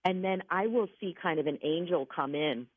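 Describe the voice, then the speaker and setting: A woman's voice: lowish voice, normal speed, no room sound. A woman giving conversational psychic readings as a guest on a radio call-in show, speaking emphatically and reassuringly and repeating key points. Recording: narrow phone-like line.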